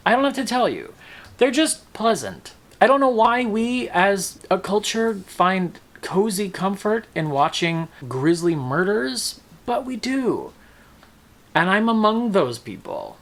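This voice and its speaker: A man's voice: high-pitched, very animated, slow pace, fairly bright tone. A man talking casually as a book-review vlogger, with a wry, playful, emphatic delivery that draws out words. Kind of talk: casual, chatty book wrap-up.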